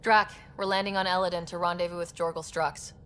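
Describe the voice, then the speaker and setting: A young female explorer and leader played by an actress in a video game. Her voice sits on the low side, composed and earnest, diplomatic in delivery, with faint music behind. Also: level tone, brisk, fairly bright tone, lightly acted.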